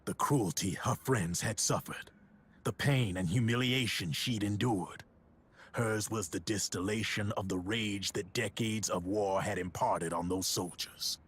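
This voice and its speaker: An actor playing a sly middle-aged arms dealer, talking in a wry, husky storytelling voice. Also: male voice, on the low side, a little slow.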